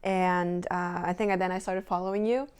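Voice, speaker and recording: A woman's voice: fairly low pitch, steady tone, a little fast. A young woman from southern Germany, a guest in a casual podcast chat, talking hesitantly with fillers and restarts. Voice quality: creaky.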